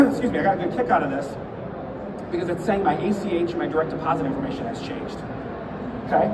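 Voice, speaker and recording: Man, conference presenter, casual storytelling, a bit hoarse, loud background noise. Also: a little high. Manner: conversational storytelling, frequent "right?" and "okay?". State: slightly losing his voice, clears throat. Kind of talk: conference session talk. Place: conference hall. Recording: echoey hall, mic far off, loud background noise.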